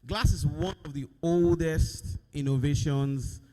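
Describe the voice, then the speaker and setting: Loud man speaking off-mic in a hall. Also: on the high side, unhurried. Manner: loud, projecting voice. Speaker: company managing director, guest speaker. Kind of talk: speech at an event. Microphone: speaking away from the microphone. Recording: distant voice in an echoey hall.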